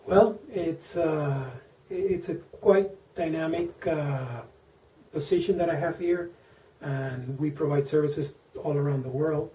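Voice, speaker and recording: Man, Venezuelan accent, native Spanish speaker, dark mellow voice, halting with fillers, echoey room. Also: fairly high pitch. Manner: hesitant, many fillers and repeated words. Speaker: interview guest, engineer. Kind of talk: interview answers. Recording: echoey room, mic at a distance.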